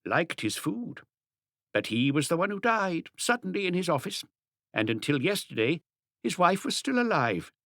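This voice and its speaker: A man's voice: high voice, big pitch swings, moderate pace, a bit bright, no room sound, studio quality, voice pushed up, heavily acted. A man voicing a chatty elderly bird seller in an audiobook, folksy, gossipy and wistful as he reminisces. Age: older. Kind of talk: audiobook character dialogue.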